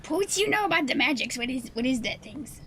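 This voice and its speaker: A naive, eager little misfit adventurer played by a woman speaks in a high, bright voice that swings about. The wording is simple and guileless, as tabletop roleplay. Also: sing-song, fairly quick, a little hiss or hum, exaggerated voice. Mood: curious, hopeful. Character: naive, eager, childlike sea-monster-loving misfit adventurer.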